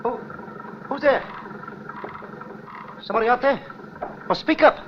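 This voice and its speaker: An actor playing a small, cowardly, jumpy male crook in a 1940s radio crime drama, whining and nervous. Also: a little slow. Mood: frightened. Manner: nervous, shrill pleading. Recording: dull, noisy old radio recording.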